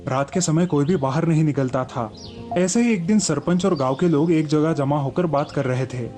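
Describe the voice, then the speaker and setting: A man reads a scripted children's story. His voice is warm and mellow, a touch high for a man, and music plays behind him. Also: normal speed.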